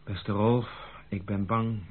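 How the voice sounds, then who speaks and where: An actor playing an earnest, lovelorn gentleman of rank in a Dutch radio drama, speaking formally and with dramatic feeling. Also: fairly low pitch, level tone, slow. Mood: regretful, pained. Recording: muffled, band-limited old radio recording.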